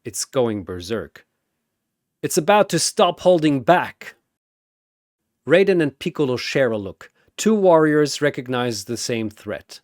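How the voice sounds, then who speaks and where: Slow, dramatic male narrator, very animated pitch. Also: no echo, studio quality. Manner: theatrical, punchy delivery. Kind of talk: dramatic scripted battle narration.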